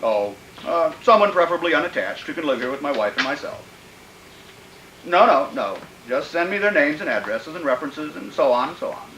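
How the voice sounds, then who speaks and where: An actor playing a sinister, gleefully deranged killer who poses as a polite employer, fussing and repeating himself in old-time radio thriller style. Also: male voice, on the high side, sing-song, fairly quick, sharp tone, reverberant, slight background noise, heavily acted, low-quality recording. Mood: brisk, agitated. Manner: fussy, repetitive, muttering to himself.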